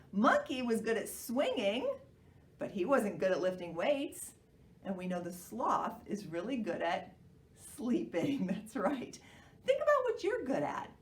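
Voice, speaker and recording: A woman leading a children's storytime, reading expressively and putting questions to her young listeners. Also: on the high side. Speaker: children's library storytime reader. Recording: roomy echo, far from the mic.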